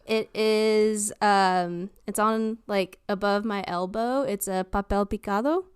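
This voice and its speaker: A woman talks casually as a podcast co-host. Her tone is exceptionally clean and smooth, she takes her time, and the recording is dry. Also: medium pitch, fairly even intonation, slow pace, dry recording. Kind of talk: casual chat.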